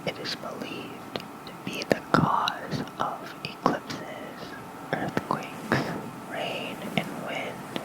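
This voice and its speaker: An ASMR host reading lore as a bedtime story in a soft, breathy whisper, gentle and soothing, close to a fluffy microphone. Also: poor audio. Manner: slow, gentle, soothing delivery. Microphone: close fluffy-covered microphone.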